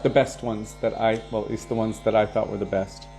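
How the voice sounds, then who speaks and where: A man leading a devotional program, casually answering viewer questions and sharing anecdotes in a relaxed way, chuckling now and then. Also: on the low side, brisk, soft background music. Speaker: kirtan leader and devotional teacher.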